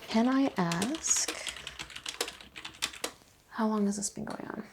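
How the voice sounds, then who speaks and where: A woman playing an attentive, reassuring chiropractor in a soft-spoken ASMR roleplay, asking questions in a hushed, unhurried way. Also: medium pitch, background noise. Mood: calm, attentive. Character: attentive, reassuring female chiropractor taking a history. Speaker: ASMR performer playing a clinician.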